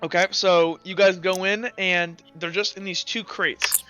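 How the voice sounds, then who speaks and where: A man improvising narration as game master of a tabletop roleplaying game, in informal, halting phrasing. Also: sits high, fairly even intonation, sharp tone, clear, resonant tone. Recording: dull lo-fi audio with music behind.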